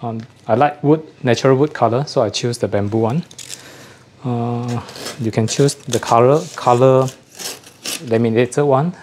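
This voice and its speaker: A man giving a casual unboxing chat as a host, often pausing to rephrase himself. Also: medium pitch, darkish tone, a little hiss or hum. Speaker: host of an audio gear channel.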